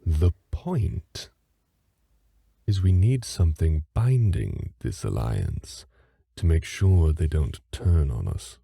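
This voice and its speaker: A man's voice: extremely low pitch, very animated, slow, creaky, mellow, breathy edge, no room sound, clean studio sound, heavily acted. A man playing a haughty, aristocratic fae father in an audio drama, speaking formally and with cold condescension. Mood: stiff, imperious. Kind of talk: dramatic audio roleplay acting.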